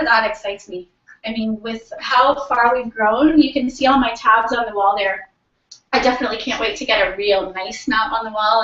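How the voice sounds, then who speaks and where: A woman's voice: on the high side, fairly bright tone. A woman from Canada giving an informal, motivational business presentation to a group, enthusiastic and conversational, often putting questions to the audience. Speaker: presenter at a company team meeting. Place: room in a house, live audience. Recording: roomy, distant, dull, noisy live-feed recording.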